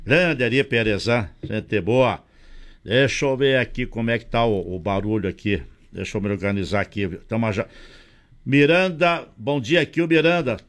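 A man's voice: mid-range voice, moderate pace. A middle-aged man with a gaúcho Brazilian accent hosting a regional radio talk show, joking and reading ads and listener messages. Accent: gaúcho Brazilian Portuguese. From southern Brazil.

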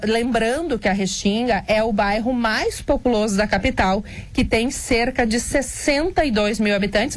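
A woman reporter delivers a live report. She explains at length, with the odd filler and restart, and her voice sits a little high. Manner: explanatory, slightly unscripted with fillers. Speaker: radio reporter.

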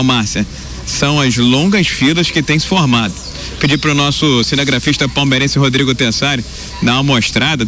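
Relaxed Brazilian male field reporter outdoors, faint street noise around him. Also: medium pitch. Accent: Brazilian Portuguese. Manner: joking and relaxed, then informative. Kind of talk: live election-day news report. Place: outdoors by a polling station with long queues.

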